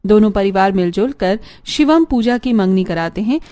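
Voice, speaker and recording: A woman's voice: medium pitch, animated, moderate pace, dark tone, no echo. A woman narrator reading a scripted cartoon family story.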